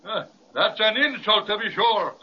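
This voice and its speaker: A man's voice: high voice, expressive, unhurried. A man voicing a stubborn, self-important roly-poly toy policeman with an Irish accent in a children's radio serial. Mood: self-important, unbudging. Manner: lilting stage-Irish phrasing, unbudging. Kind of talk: old-time children's radio serial acting. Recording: dull, noisy old radio recording.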